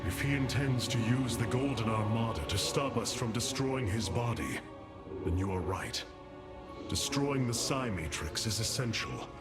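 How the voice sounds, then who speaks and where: A man's voice: medium pitch, normal speed, loud music behind the voice, close to an everyday voice. A man playing a noble, resolute alien warrior leader in a video game, with formal, commanding, oratorical delivery. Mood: grave resolve. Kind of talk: cinematic video game acting.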